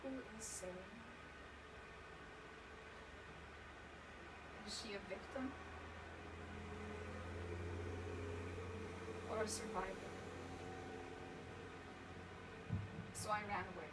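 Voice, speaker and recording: A woman acting a haunted young survivor of abuse in a dramatic stage monologue, halting and emotional, repeating words for emphasis. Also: medium pitch, close to an everyday voice. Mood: subdued, reflective. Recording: distant mic, clearly noisy room recording.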